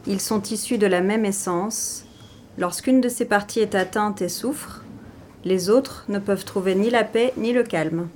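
Woman reader, mid pitch, steady recital of a poem, faint background noise. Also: normal speed. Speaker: audience member reading aloud. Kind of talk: reading a poem translation.

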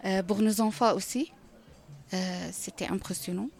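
A middle-aged woman from Syria, a native Arabic speaker with a Syrian accent, giving a personal account in an interview and haltingly searching for words. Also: medium pitch, steady tone, creaky, a little mellow. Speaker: interviewee, refugee who cooks.

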